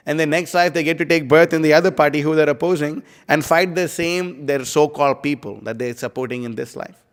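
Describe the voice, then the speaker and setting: Guy giving a casual scripture class, lots of "you know" and "like", smooth voice, echoey hall. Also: fairly high pitch, brisk. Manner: casual, rambling, many fillers like "you know" and "like". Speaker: class speaker. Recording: live stream in a reverberant hall.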